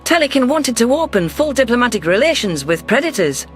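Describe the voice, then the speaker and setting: A man's voice: very high-pitched, big pitch swings, a little fast, a bit bright, soft background music. A man narrating a science fiction story in the first person, reading a scripted text.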